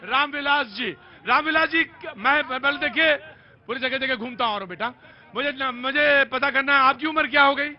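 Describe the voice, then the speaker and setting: A male TV reporter hosting a roving street news show, briskly moderating and cutting people off. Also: extremely high pitch. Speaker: TV reporter host. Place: outdoor street crowd. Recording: dull outdoor audio with crowd. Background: faint crowd.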